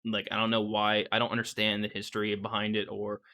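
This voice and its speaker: A man's voice: lowish voice, monotone, fast, bright, forceful tone. A young man co-hosting a casual anime review podcast, blunt and opinionated, swearing often. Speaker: podcast co-host.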